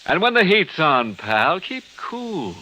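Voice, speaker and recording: Bright, swooping male radio pitchman. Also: big pitch swings, takes it slowly, slight background noise, poor audio. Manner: punchy, theatrical salesmanship. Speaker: radio announcer reading a sponsor ad. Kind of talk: 1940s radio commercial delivery.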